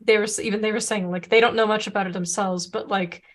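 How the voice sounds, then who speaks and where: A woman's voice: medium pitch, fairly even intonation, fast. A woman co-hosting a podcast in casual chat, rambling with many restarts, whose first language is Serbo-Croatian. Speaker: podcast co-host.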